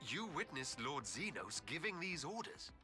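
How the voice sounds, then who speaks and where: Polite envoy in a game cutscene, lively melodic delivery, formal and earnest, music pretty loud behind. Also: male voice, on the high side. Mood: earnest, grave. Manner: courteous, measured, formal diction. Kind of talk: video game cutscene acting.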